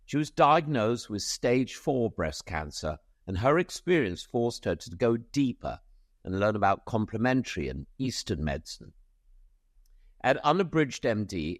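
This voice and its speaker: A man's voice: fairly low pitch, dry recording, clean studio sound. A man hosting a medical podcast, reading a formal guest introduction and then chatting with many small hesitations. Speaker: podcast host.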